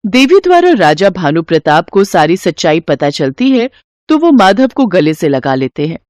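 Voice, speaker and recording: A woman's voice: fairly low pitch, sing-song, normal speed, a little mellow, no echo, clean studio sound. A woman narrating a scripted children's moral story.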